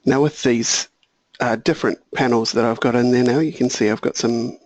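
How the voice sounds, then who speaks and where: A man walking through a software tutorial, thinking aloud and correcting himself, a little hoarse. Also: mid-range voice, normal speed, dry recording, low-quality recording. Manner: relaxed, thinking aloud, small self-corrections. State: slightly hoarse, clearing throat. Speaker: software trainer. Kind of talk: screen-share software tutorial.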